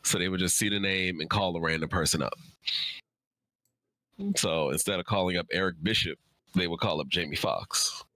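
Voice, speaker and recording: A man's voice: low voice, normal speed, pauses often, pushed and bright, no echo, low-quality recording. A man co-hosting a live Q&A in casual chat, explaining things informally through anecdotes. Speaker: co-host of a live Q&A.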